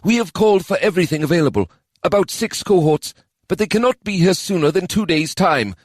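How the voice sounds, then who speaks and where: A man's voice: high voice, a little fast, dry recording, studio quality, pitched above the performer's own voice. A man voicing a thick-bodied male elf commander who is anxious and stammers when rattled. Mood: worried.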